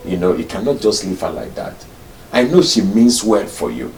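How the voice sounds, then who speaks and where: A man's voice: on the low side, sing-song, normal speed, creaky, slight background noise. A man playing a placating husband who reassures his angry wife in a film drama. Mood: coaxing. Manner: coaxing, reassuring. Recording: echoey room, loud background music.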